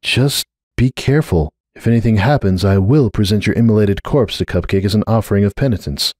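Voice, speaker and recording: A man's voice: fairly low pitch, a little fast, a little mellow, dry recording, studio quality, natural voice. A man voicing a cautious, protective female pony with a dryly threatening streak in a dramatic story reading.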